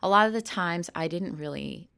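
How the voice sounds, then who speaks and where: A woman's voice: lowish voice, normal speed, gravelly, fairly bright tone, clear, resonant tone. A woman in her thirties, an actor and comedian, answering interview questions frankly and laughing easily. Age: 30s.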